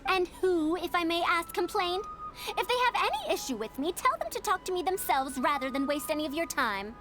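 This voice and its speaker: High, haughty young-sounding lady scholar. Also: animated, fairly quick. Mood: haughty indignation. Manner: pompous, formal, lecturing. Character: youthful-sounding female elder scholar, proud, indignant and evasive. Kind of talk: video game voice acting. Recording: game music behind the voice.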